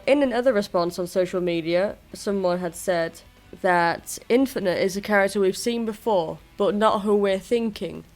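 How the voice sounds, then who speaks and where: A YouTuber presenting fan theories in a casual, self-deprecating commentary addressed to viewers. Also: medium pitch. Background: music.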